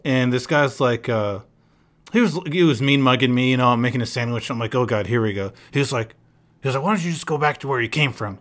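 A laid-back American-born man chatting on his podcast at a middle pitch. He rambles through personal stories with plenty of fillers, dropping quick quoted asides as he goes. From United States. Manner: relaxed storyteller, quoting people in quick asides. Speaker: podcast host. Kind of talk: casual podcast chat.

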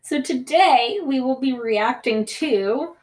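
A woman's voice: high-pitched, expressive, slow, a little mellow. A woman from the United States whose first language was Greek, co-hosting a podcast in casual, rambling chat full of fillers and restarts. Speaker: podcast co-host.

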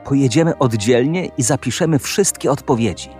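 An actor playing a loyal, earnest young knight in a fairy-tale audio drama. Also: male voice, mid-range voice, a little fast, darkish tone, soft background music, natural voice. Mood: earnest, resolute.

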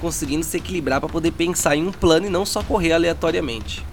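Male game master, dramatic descriptive narration, slightly high voice, clear music underneath. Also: fast. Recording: with music bed.

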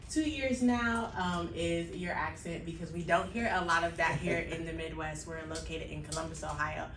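An echoey recording with faint noise carries an American woman's voice from a little distance. Her pitch is slightly low for a woman, and she speaks as an interviewer in a conversational way. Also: normal speed, a bit bright. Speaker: interviewer, church social media member.

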